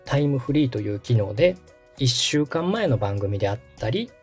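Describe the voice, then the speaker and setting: A man's voice: medium pitch, expressive, normal speed, breathy edge, faint music behind. A man in his thirties from Osaka hosting a podcast, explaining things politely, patiently and step by step. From Osaka, Japan. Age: 30s. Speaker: podcast host, radio director.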